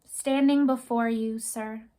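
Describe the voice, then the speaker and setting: A woman voicing a nervous twelve-year-old boy who speaks quietly. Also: a little high, steady tone, slow pace.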